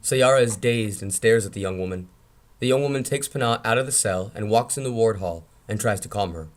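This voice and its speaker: A man's voice: fairly low pitch, a little fast. A man reading screenplay scene descriptions as narrator in a table read.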